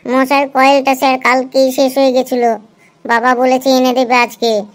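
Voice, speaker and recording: A woman's voice: sits high, monotone, moderate pace, close to an everyday voice. A woman voicing a cheeky, excuse-making schoolboy in an amateur cartoon dub, long-winded and pleading.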